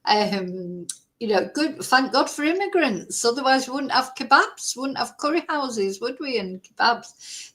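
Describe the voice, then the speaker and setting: Woman, softened Mancunian accent, casual livestream host, chatty, rambling, mid-pitched. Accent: softened Mancunian English. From Manchester, England. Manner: chatty, rambling, greets viewers by name. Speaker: true-crime livestream host.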